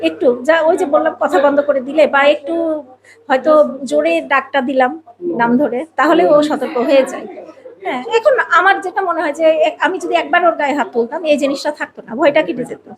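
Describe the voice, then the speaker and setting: Give a woman's voice, notably high. She talks in a casual, personal interview style and is expressive, often repeating a phrase for emphasis. Voices of a crowd are clearly audible behind her. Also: quick pace. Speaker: podcast guest, a mother.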